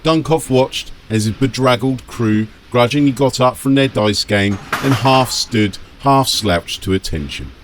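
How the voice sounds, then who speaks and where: A man narrating a scripted fantasy audiobook dramatically, with measured, emphatic phrasing. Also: medium pitch, noisy background.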